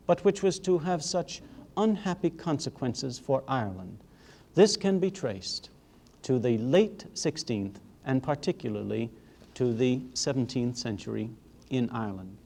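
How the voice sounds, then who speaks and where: A man giving a formal history lecture in a measured, emphatic way, leaning on rhetorical repetition. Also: medium pitch, a little mellow.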